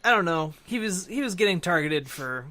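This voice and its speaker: A man with a fairly high, very bright voice talks casually as a podcast co-host. He discusses film in a halting, wandering way, feeling out his points and hedging as he goes. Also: expressive.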